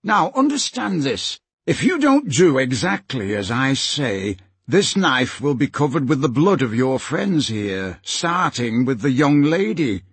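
Man voicing a husky, menacing pirate villain. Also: mid-range voice, big pitch swings, unhurried, hardly any pauses, dry recording. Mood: threatening. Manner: taunting, rough ungrammatical speech. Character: menacing, gloating grown male pirate villain with a knife.

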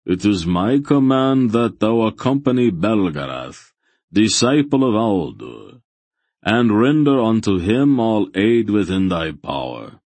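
A man's voice: lowish voice, big pitch swings, unhurried, no room sound, pitched above the performer's own voice, full character voice. A man voicing a stern, majestic old god in a fantasy audiobook, with solemn, archaic, commanding speech. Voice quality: soft, solemn voice. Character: stern ancient bearded male god, grave and majestic.

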